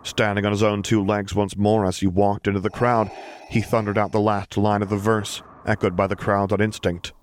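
A man's voice: on the low side, little pitch movement, moderate pace, a little hiss or hum. A man narrating a fiction audiobook from a script.

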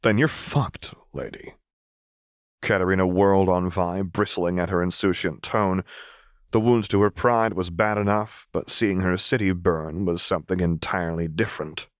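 A man with a fairly low voice narrates a dramatic story. He reads in a scripted audiobook style. The sound is dry and close, with no audible room. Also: steady tone, normal speed, clear, resonant tone, no room sound.

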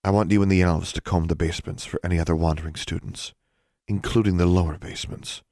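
A man's voice: low voice, fairly even intonation, fast talker, dark tone, dry recording, studio quality. A man voicing a stern, disdainful woman dean in an audiobook story, cold, clipped and authoritative. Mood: commanding.

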